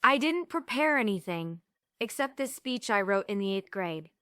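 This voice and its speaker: A woman's voice: medium pitch, expressive, moderate pace, bright, forceful tone, smooth, no room sound, clean studio sound. A woman playing a playful, theatrical thirteen-year-old girl who dreams of stardom, in a stage reading. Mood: playful, excited.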